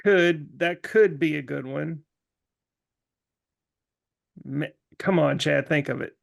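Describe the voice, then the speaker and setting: A man co-hosting a podcast in casual, joking chat, halting as he thinks his way through aloud. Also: a little high, gravelly. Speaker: podcast co-host.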